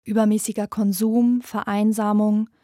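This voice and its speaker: A woman's voice: medium pitch, flat delivery, moderate pace, dark tone, no echo. Dry-sounding woman narrator. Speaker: podcast presenter. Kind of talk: scripted documentary narration.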